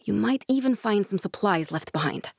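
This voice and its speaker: A woman's voice: medium pitch, animated, normal speed, gravelly, no echo. Casting: determined young woman scientist for a game, caring, earnest and direct, mid-range voice, very dry recording. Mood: matter-of-fact, businesslike. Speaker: video game voice actress. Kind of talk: naturalistic dramatic video game dialogue.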